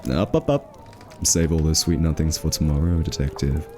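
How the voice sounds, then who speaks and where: A man's voice: a bass voice, moderate pace, dark tone, faint music behind. A male voice actor playing a smug, flirty thief in an ASMR roleplay, teasing and drawling with suggestive innuendo. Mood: smug, playful. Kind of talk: ASMR roleplay, scripted character acting.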